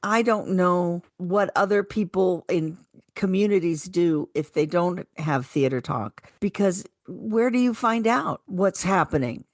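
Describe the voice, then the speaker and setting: A woman's voice: lowish voice, measured pace, no echo, studio quality. An older woman, a retired actress, talking casually as a radio co-host.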